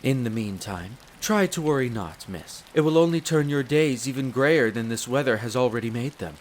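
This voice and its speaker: A man's voice: mid-range voice, moderate pace, close to an everyday voice. Man playing a formal wolf butler in rain. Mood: courteous, reassuring. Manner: polite, formal, deferential. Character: devoted, formal male wolf butler and head servant. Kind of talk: scripted roleplay audio drama. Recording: rain noise over the voice. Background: steady rain.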